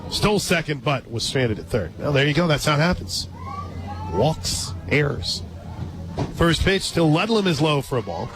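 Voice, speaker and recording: A man calling a softball game live on the radio, mixing pitch-by-pitch play-by-play with conversational asides. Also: big pitch swings, normal speed. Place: stadium radio booth at an outdoor ballpark. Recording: loud crowd and music behind. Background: loud ballpark crowd and music.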